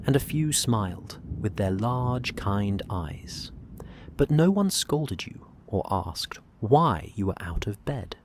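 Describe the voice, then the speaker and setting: A narrator reading a soothing bedtime story in a hushed, gentle voice with soft pauses. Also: mid-range voice, background noise. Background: soft fantasy ambience.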